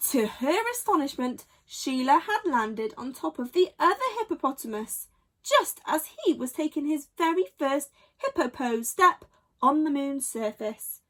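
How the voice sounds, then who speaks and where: A woman reading a children's bedtime story expressively, with dramatic emphasis and pauses. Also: sits high, sing-song, fairly bright tone.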